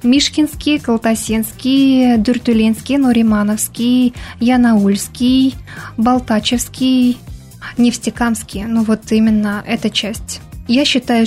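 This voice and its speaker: Young woman, somewhat high voice, earnest radio-studio guest, faint music underneath. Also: a little mellow. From Bashkortostan, Russia. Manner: earnest, explanatory, with hesitant fillers. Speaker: radio guest, Mari language activist. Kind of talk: radio interview answers.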